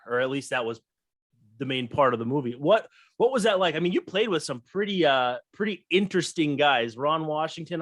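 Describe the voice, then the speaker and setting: A man's voice: fairly high pitch, fairly bright tone, no echo. A man in his thirties with an American accent, hosting a casual podcast interview and asking questions. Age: 30s.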